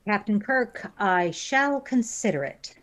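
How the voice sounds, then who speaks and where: A woman playing a female alien science officer in a fan audio drama, unemotional, formal and precise, with deadpan delivery. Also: medium pitch, takes it slowly. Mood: stern, composed.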